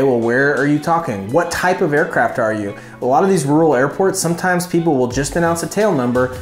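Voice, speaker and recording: A man in his thirties with a medium-pitched voice talks straight to camera as an instructor. He is conversational and emphatic, explaining things informally. The lapel-miked voice carries noticeable room echo, with faint music behind it. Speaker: flight instructor and video host. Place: small furnished living room indoors. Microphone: lapel mic.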